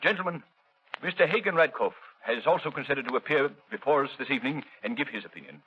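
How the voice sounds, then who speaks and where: Fast, husky, officious board chairman. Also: mid-range voice, lively intonation. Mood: grave, formal. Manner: formal, brisk, speech-making. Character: officious, anxious male opera board chairman. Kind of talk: 1930s radio drama acting. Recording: dull, band-limited 1930s radio recording.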